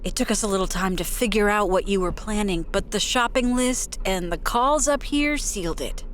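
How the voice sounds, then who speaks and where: A woman's voice: medium pitch, normal speed, close to an everyday voice. A woman acting as an earnest, determined young amateur investigator in a naturalistic audio drama, at times hesitant. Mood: hopeful.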